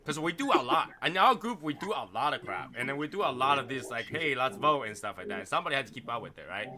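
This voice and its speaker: A man's voice: mid-range voice, big pitch swings, fast talker, crowd noise. A man in his thirties from Boston hosting a casual live stream chat in a rambling, repetitive way. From Boston, United States. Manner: rambling, repeats himself. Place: small foam-padded home studio.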